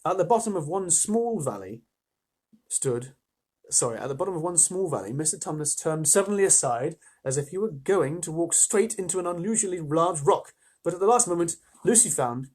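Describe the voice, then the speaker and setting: A man reading a children's story aloud as an expressive storyteller, with casual asides to listeners. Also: a little high, brisk, breathy edge.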